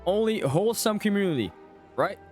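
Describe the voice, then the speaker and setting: A man's voice: sits high, expressive, fairly quick, a little mellow. A man streaming a game and chatting casually and wryly with viewers in short, informal reactions. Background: game music.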